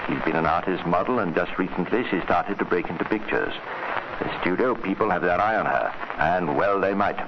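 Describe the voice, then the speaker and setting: An old radio recording, muffled and hissy with noise, carries a storyteller. He has a lowish voice and speaks wryly and knowingly, as if confiding with relish. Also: creaky, exaggerated voice. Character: wry, knowing male storyteller addressing the listener. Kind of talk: dramatic scripted radio narration.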